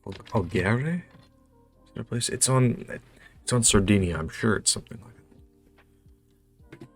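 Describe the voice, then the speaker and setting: A man's voice: lowish voice, measured pace, faint music behind. A man with a North American accent thinking aloud as he plays a geography quiz, musing hesitantly and muttering to himself in a casual commentary. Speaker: host playing a geography quiz.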